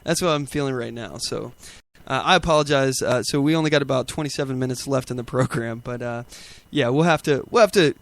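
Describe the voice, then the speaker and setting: A man's voice: mid-range voice, a little fast, no echo. A man hosting a political talk show, giving ad-libbed commentary with emphatic rhetorical questions.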